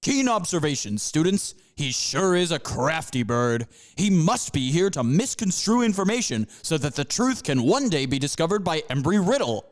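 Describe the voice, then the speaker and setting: A man's voice: on the high side, sing-song, moderate pace, few pauses. A man voicing a pompous panther professor who lectures his students in grandiose, stretched-out phrases. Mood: lecturing. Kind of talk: amateur audio drama.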